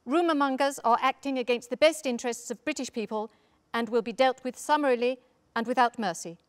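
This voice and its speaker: Woman acting high, stern wartime news announcer. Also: brisk, clean tone, lightly acted. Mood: stern, official. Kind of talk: formal 1940s news bulletin reading. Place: live stage reading in a theatre.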